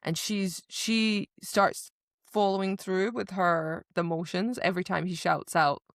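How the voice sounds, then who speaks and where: A woman with a fairly low, creaky voice co-hosts a casual book podcast. She retells scenes expressively and informally, acting out bits of dialogue. The sound is very dry. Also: little pitch movement, unhurried, dry recording. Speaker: podcast co-host.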